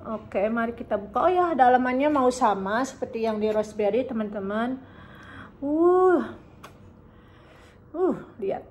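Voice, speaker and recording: A woman in her thirties from Indonesia, chatting casually through a food review and humming while she eats, filmed in a bright living room by a camera on the table. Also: a little high, lively intonation, unhurried, long pauses, slight background noise. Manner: chatty, savoring, hums while eating. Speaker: food vlogger. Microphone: camera on table in front.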